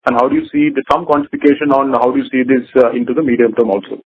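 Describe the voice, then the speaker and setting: An Indian-accented man puts an analyst's question in a hesitant, roundabout manner. His voice is husky and medium in pitch. It comes dry through a narrow, tinny telephone line. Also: fairly even intonation, racing, no room sound. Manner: hesitant, roundabout, often saying "you know". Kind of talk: spontaneous question on an earnings call.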